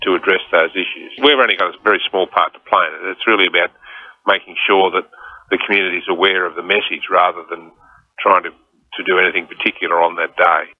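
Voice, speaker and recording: A man's voice: low voice, steady tone, fast talker. A man with an Australian accent, a council manager, explaining in an interview soundbite. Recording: tinny phone line.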